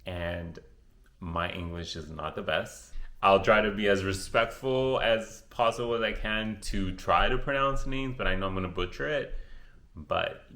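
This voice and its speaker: Male beauty vlogger, very low voice, unhurried casual chat, echoey room. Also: a bit bright. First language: Spanish. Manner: chatty, rambling, self-correcting. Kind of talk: casual makeup tutorial chat.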